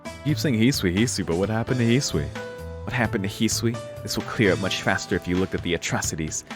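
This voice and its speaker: A man's voice: lowish voice, fast talker, natural voice. A man voicing an irritated, accusing little sister from a visual novel. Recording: music playing behind the voice.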